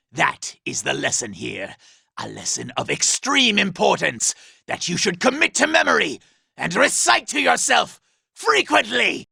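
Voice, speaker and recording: A male actor playing a vain, scheming, power-hungry robot commander in a video game, imperious and theatrical, with a raspy, gravelly voice. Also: fairly high pitch, pushed and bright, no room sound, studio quality, full character voice. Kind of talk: video game villain voice acting.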